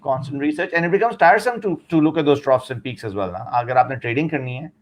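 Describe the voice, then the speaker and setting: A man with a Pakistani accent who talks fast and bluntly, and his speech comes out quick and punchy. As a guest in a casual interview, he gives emphatic advice and switches into Urdu phrases mid-sentence. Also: on the high side, lively intonation, very noisy. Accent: Pakistani English.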